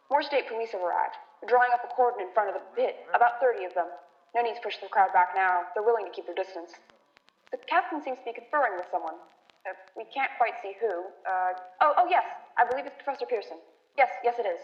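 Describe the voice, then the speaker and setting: A woman playing a rattled radio reporter giving halting, alarmed eyewitness commentary from the field in a radio drama. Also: medium pitch, lightly acted. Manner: breathless, halting, repeating words in alarm. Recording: dull, echoey stage recording.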